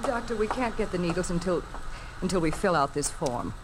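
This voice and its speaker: An actress playing a hesitant, apologetic, dutiful nurse in a 1950s radio drama. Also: female voice, fairly low pitch, animated, a little fast, natural voice. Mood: worried. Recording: noisy old 1950s radio recording.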